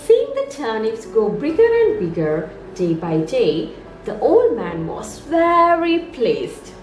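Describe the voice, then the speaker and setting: A woman reading a children's story aloud as a nursery storyteller, in an expressive, sing-song way meant for young children. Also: on the high side, takes it slowly. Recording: roomy echo, music underneath.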